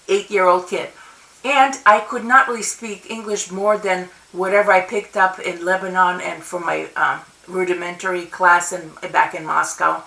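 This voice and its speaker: A woman's voice: mid-range voice, creaky, sharp tone. A middle-aged woman whose first language is Russian, telling a personal story in a loose, rambling way with restarts and laughs, speaking to a webcam in her home study. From Soviet Union. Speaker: convention speaker. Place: home study with bookshelves and plants. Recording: roomy webcam audio from a distance.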